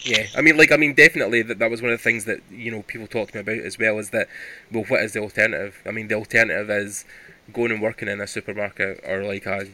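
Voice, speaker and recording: Male podcast host, lower voice with a very bright, forceful edge; earnest, rambling, conversational and reflective. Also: low-quality recording. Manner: earnest, rambling, self-reflective, leaning on "you know".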